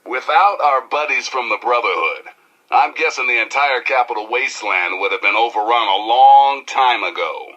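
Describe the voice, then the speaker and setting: A man's voice: mid-range voice, normal speed, bright, forceful tone, highs cut off. A man playing a brash, cheeky, streetwise rebel radio DJ with an American accent and theatrical patter. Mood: wry, mock-serious.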